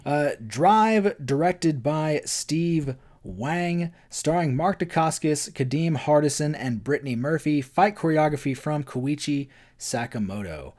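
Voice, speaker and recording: A man's voice: a little high, unhurried, hardly any pauses. Man in his twenties hosting a casual film chat. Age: 20s. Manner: relaxed, thoughtful, with hesitant fillers. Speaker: podcast host.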